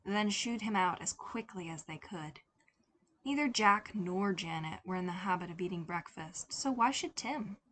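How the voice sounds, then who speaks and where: A woman's voice: mid-range voice, fairly bright tone, a little hiss or hum. A woman reading a scripted story expressively as an audiobook narrator. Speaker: podfic narrator.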